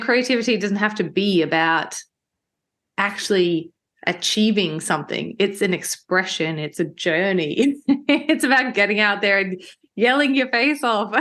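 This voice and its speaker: A woman's voice: medium pitch, a bit bright. A woman hosting a podcast, enthusiastic and affirming in casual conversation, laughing often. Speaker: podcast host.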